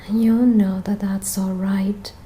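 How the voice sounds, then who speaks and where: A woman leading a guided meditation as the guide, speaking in a gentle, soothing manner with long pauses between phrases. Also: mid-range voice, level tone, slight background noise.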